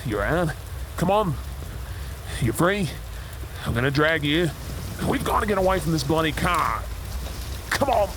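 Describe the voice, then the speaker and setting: A man's voice: fairly high pitch, noisy background. A man with an Australian accent playing an injured, protective crash survivor in a dramatic audio roleplay, urgent, breathless and reassuring. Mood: panicked, straining.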